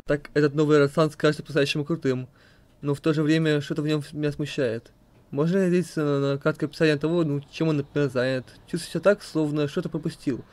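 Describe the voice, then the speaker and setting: A man's voice: a little high, fairly even intonation, fast, darkish tone. A man reading questions and explanations aloud as narrator of an amateur comic dub.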